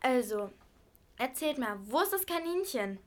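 A high female voice acting out a stern, skeptical mother. She has the shrewd air of a family detective in an amateur radio play. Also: sing-song, unhurried.

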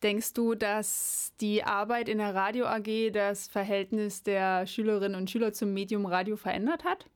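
A woman's voice: mid-range voice, level tone, normal speed, hardly any pauses, clear, resonant tone. A woman hosting a radio programme, introducing segments and putting questions to her guest. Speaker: radio show host. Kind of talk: radio moderation and interview.

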